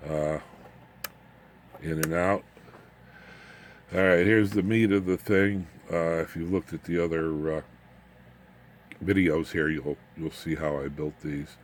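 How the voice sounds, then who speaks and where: A man giving an informal, unscripted hands-on demonstration as a hobbyist, haltingly, muttering asides to himself as he works. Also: deep voice, fairly even intonation. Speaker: hobbyist radio builder demonstrating his equipment. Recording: clear background noise, room ambience.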